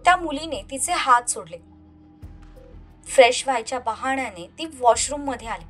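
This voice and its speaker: A woman with a high-pitched voice narrates a romantic audio drama. Her delivery is scripted and dramatic. Faint music plays behind her. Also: lively intonation, normal speed, a bit bright.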